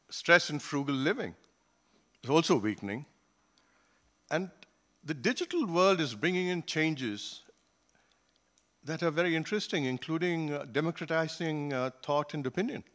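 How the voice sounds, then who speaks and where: A man in his sixties from Singapore, speaking at a conference panel table into a gooseneck mic, measured, with frequent fillers and restarts. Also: fairly high pitch, lively intonation, a bit bright. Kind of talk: academic panel talk.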